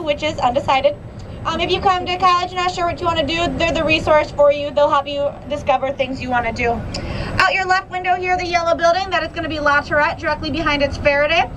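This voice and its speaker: A young woman guiding a campus bus tour, upbeat and informative, with frequent "um" fillers. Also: high-pitched. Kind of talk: guided tour commentary. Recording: noisy bus interior. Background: bus engine noise.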